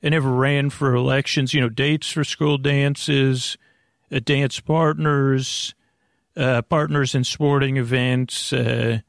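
A middle-aged man with a creaky voice hosting a bedtime podcast, meandering and halting through a self-deprecating, off-topic monologue. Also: mid-range voice, level tone, no echo, clean studio sound. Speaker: host of a bedtime sleep podcast.

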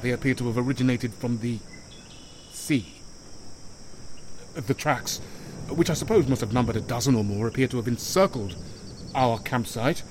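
Halting, frightened castaway played by an actor, insects around. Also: male voice, mid-range voice, background noise, close to an everyday voice. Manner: halting, stammering, restrained. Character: frightened, weary stranded man keeping dutiful composure. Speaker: narrator-survivor sending radio log. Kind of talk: audio drama monologue as radio log.

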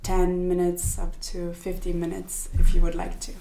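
A young woman from Sweden talks as a podcast guest, her voice sitting a little low. She thinks aloud, with fillers and restarts, and the sound carries a roomy echo. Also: monotone, normal speed, mellow, a little hiss or hum. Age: young adult. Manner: thoughtful, many fillers and restarts. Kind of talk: casual interview.

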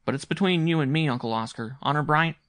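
A man's voice: on the high side, quick pace, a bit bright, no echo, studio quality, pitched above the performer's own voice, natural voice. A man voicing an earnest, intense young boy in a story, speaking in a grave, insistent, polite way.

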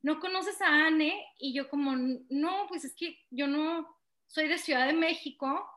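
A woman's voice: high-pitched, measured pace, a bit bright. A young woman from Mexico hosting a podcast interview, chatting and telling stories with lots of fillers. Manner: chatty, storytelling with many fillers. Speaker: podcast host. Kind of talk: casual interview chat.

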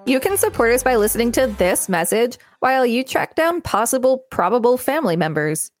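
A woman's voice: on the high side, big pitch swings, brisk, faint music behind. A woman podcast co-host reading encyclopedia material aloud and chatting casually between passages.

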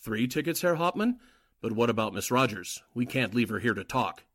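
A man's voice: medium pitch, expressive, normal speed, fairly bright tone, husky. A man acting out a sneering, suspicious henchman spy in 1940s radio style. His mid-range voice swings widely, pointed and mocking. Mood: questioning. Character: sly, suspicious Nazi henchman, sneering and accusing.

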